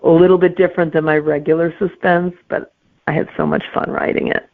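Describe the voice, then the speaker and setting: A woman's voice: fairly low pitch, level tone, a little fast. A middle-aged woman, a guest author chatting casually in an interview over a narrow phone-like connection. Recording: tinny narrow phone-like line.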